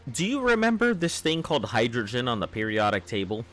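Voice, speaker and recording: A man with a baritone voice chatting casually with viewers on a live game stream, in a loose, rambling way. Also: big pitch swings, fairly quick, fairly bright tone, soft background music. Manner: rambling, loose, chuckling at chat.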